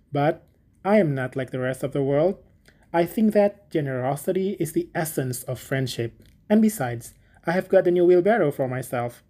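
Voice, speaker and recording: A woman's voice: sits low, mellow. A woman voicing a smug, selfish male miller who talks pompously about his own generosity, in a storybook reading. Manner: pompous and self-satisfied.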